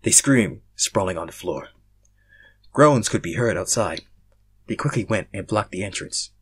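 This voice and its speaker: A young man reading fan fiction aloud, casual in his chat and theatrical in his narration, swinging between hushed and loud. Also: sits low, expressive, unhurried, breathy edge. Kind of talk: casual intro and dramatic story narration.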